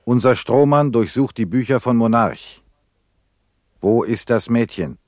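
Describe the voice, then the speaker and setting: An actor playing a terse, insistent investigator in a radio drama, reporting in a clipped, matter-of-fact way. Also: male voice, mid-range voice, animated, unhurried, darkish tone, no echo, studio quality, close to an everyday voice.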